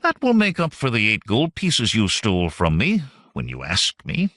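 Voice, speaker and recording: A man's voice: on the low side, wildly swooping pitch, normal speed, fairly bright tone, no room sound, studio quality, exaggerated voice. A man voicing a cocky, teasing young male barbarian thief in an audiobook, smug and assured.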